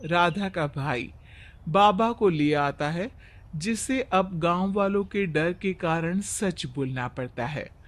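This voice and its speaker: Male narrator for a moral story: high voice, slow scripted delivery. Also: animated.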